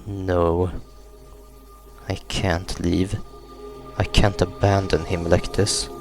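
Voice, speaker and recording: A man reading a horror visual novel aloud in a subdued, unhurried way, as a gaming YouTuber narrating a playthrough. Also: sits low, level tone. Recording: music behind the voice. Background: game music.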